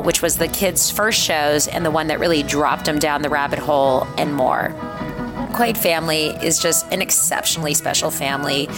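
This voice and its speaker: Upbeat woman hosting a podcast. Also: deep voice. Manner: enthusiastic, gushing. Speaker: podcast host. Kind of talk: casual podcast chat.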